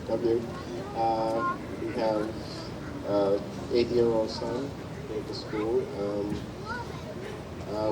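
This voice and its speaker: A man from Jamaica speaking briefly as a new citizen at a ceremony, halting with fillers. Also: fairly low pitch. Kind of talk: short public remarks. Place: courtroom ceremony. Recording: distant mic, loud room noise.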